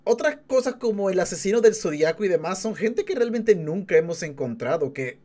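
High-voiced male streamer reacting. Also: animated, a little fast, dark tone. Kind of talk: casual live reaction commentary.

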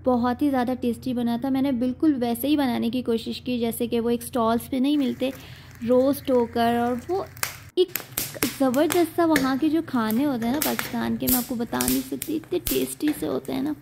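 Young woman narrating her vlog, chatty and rambly, bit high voiced. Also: steady tone, normal speed, vocal fry, noisy background. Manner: chatty, leans heavily on the filler "aur jo hai". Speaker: lifestyle vlogger. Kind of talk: casual voice-over narration of daily life.

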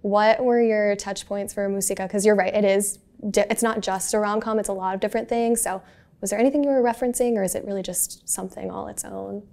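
Young woman interviewer, bit of vocal fry, upbeat and keen. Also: medium pitch, steady tone, fast talker, a little mellow. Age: young adult. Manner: upbeat, enthusiastic. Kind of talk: casual interview questions. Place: bright studio set, indoors. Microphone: small lapel mic.

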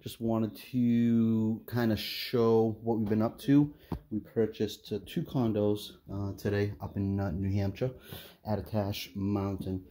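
A middle-aged man giving a casual, halting walkthrough of empty condo rooms, filmed on a handheld phone as he walks. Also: on the low side. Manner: relaxed, halting, many "uh" fillers. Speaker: property investor giving a walkthrough. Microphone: phone camera held while walking.

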